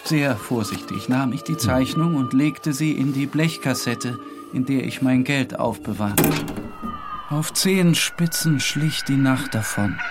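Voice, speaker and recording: A man playing a disturbed, morbid painter who narrates in a brooding, deliberate literary monologue. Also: medium pitch, over loud music, natural voice. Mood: haunted, brooding.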